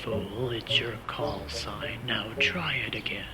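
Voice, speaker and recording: A man's voice: on the low side, slow pace, a loud crowd. A man playing a spy in a comedic radio play, on a noisy recording. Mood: stern, exasperated. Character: comic pair of male spies, one stern, one dim.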